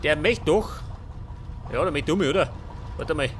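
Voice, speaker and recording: Bavarian guy doing a lets play, kinda high voice, mutters n swears to himself, bit of background noise. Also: animated, moderate pace. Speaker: let's player. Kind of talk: casual gameplay commentary.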